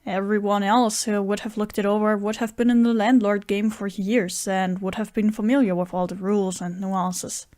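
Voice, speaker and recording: A woman's voice: medium pitch, little pitch movement, normal speed, hardly any pauses, a little mellow, dry recording, clean studio sound, natural voice. A woman playing an earnest, scheming friend who narrates an audio drama tale. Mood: consoling. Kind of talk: audio drama dialogue and first-person narration.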